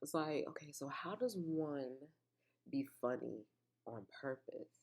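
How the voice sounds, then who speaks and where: Very low-voiced chatty woman podcaster. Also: a little slow. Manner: chatty and animated, with fillers and asides to listeners. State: slightly congested with a cough. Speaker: podcast host. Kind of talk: casual solo podcast chat.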